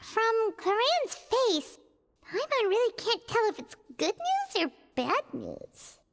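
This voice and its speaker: Squeaky, very high childlike fairy companion. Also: female voice, huge pitch swings, slow, vocal fry, exaggerated voice. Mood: puzzled. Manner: refers to herself in third person. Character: tiny childlike floating fairy companion, excitable and earnest. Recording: reverberant game audio over music.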